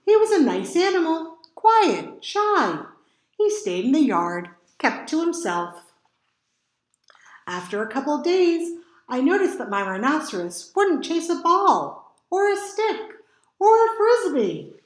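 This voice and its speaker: Expressive high-voiced woman reading picture books slowly. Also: reverberant. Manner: dramatic emphasis with drawn-out words. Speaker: library storytime reader. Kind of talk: expressive picture book read-aloud for children.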